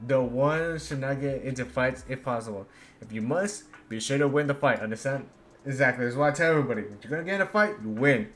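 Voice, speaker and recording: A man streaming a game, reading its dialogue aloud haltingly and adding casual commentary. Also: mid-range voice, fairly bright tone, soft background music. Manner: halting read-aloud with stumbles.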